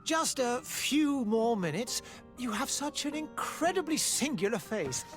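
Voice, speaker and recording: An actor playing a fussy, theatrical court portrait painter in a video game, flattering and pleading. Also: male voice, very high voice, unhurried, soft background music, exaggerated voice.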